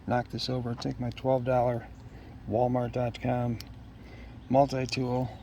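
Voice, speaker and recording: A man with a mid-pitched voice does a casual, unscripted unboxing narration. He talks haltingly, as if thinking out loud to himself, and clear background noise runs under his voice. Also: completely flat delivery. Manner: halting, musing aloud to himself. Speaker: product unboxer.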